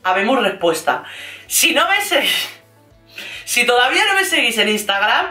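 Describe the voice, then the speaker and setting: A woman with a Castilian Spanish accent chatting casually and playfully as an online host, in an animated, gossipy, slangy way. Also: fairly high pitch, moderate pace, echoey room, soft background music. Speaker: YouTube host recapping reality TV. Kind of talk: casual, chatty vlog commentary.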